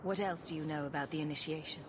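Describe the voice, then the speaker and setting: A woman's voice: low-pitched, steady tone, fast, noisy background, close to an everyday voice. An actress playing a confident, sarcastic female rogue adventurer in a video game, with a flippant manner. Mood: probing, flippant. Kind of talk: video game dialogue acting.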